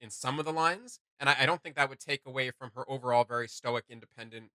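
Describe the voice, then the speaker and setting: A man's voice: medium pitch, quick pace, pushed and bright, no echo. A man hosting a reaction video in casual chat, rambling a little and often hesitating as he searches for words.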